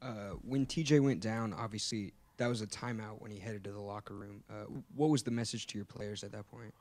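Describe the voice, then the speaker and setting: A man's voice: lowish voice, fairly even intonation, fairly quick. A man working as a reporter, putting questions at a press conference from away from the microphone. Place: indoor press conference room, away from mic. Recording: quiet, off-mic from across room.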